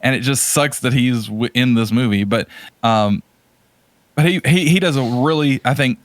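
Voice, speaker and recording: A man's voice: medium pitch, moderate pace, dry recording. A man co-hosting a podcast, chatting casually and giving strong opinions about movies with exasperated emphasis, often repeating words for stress. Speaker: podcast co-host.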